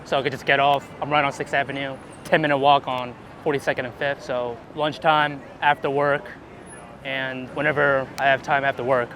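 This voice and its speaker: A man chatting casually and informally as he is interviewed about street photography outdoors, animated and quick to laugh, swearing now and then. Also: mid-range voice. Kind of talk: casual chat while walking outdoors. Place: outdoors on busy city streets. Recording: clear city street traffic noise.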